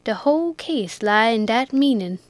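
A plump ship's cook coaxes for gossip in a broad rustic dialect, as a woman reader puts him on. The voice rises fairly high when he presses. It is recorded dry and close. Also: animated, normal speed, no echo, close to an everyday voice. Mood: coaxing. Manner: heavy rustic dialect, coaxing. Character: fat sleek male ship's cook, approving.

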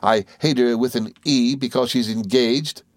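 A man's voice: mid-range voice, dry recording, clean studio sound, pitched above the performer's own voice, lightly acted. A man doing a tiny, giggling, volatile woman. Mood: rattling. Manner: rapid, giggling, volatile chatter. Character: tiny, chattering, sly middle-aged woman.

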